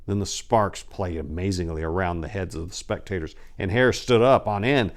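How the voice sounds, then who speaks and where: A man reading a horror tale dramatically as a haunted, dread-filled narrator, theatrical and ominous with drawn-out emphasis. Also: medium pitch, lightly acted. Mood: haunted awe.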